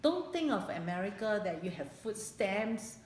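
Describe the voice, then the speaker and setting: Echoey room, faint noise, woman in her late fifties, Singaporean accent, halting personal recollection, repeats for emphasis. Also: medium pitch, sing-song, a little mellow. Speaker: interviewee recalling her childhood. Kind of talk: personal oral history interview. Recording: echoey room, background hiss.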